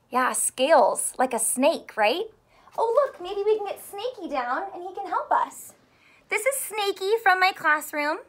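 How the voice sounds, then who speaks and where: A woman in her thirties, a primary school teacher reading aloud to young children in an expressive, carefully enunciated way and asking them questions. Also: high-pitched. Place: small home room with sofa and soft toys.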